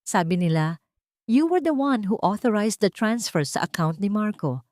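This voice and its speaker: A woman voicing a bitter husband who speaks low, with a cutting, accusing edge, in a dramatic story. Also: lively intonation, normal speed, a little mellow, no room sound, clean studio sound. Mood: cold accusing.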